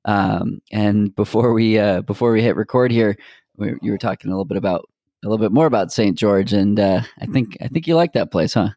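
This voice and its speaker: A man hosting a running podcast in an easygoing, halting way. His voice sits low with a gravelly fry, and it is recorded dry and close on a podcast mic. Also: no room sound. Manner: relaxed, halting with fillers and restarts. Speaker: podcast host. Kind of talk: casual interview chat.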